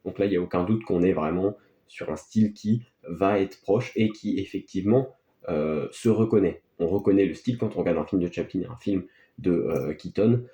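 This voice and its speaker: A man's voice: sits low, moderate pace, dark tone, muffled recording. A man gives casual, unscripted film commentary as a video host, in a hesitant, rambling, offhand way. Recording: echoey, roomy sound.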